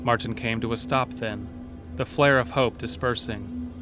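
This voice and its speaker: A man giving scripted, suspenseful narration for a horror audio drama, telling the story in a measured way. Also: medium pitch, fairly even intonation, close to an everyday voice. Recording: clear music bed behind.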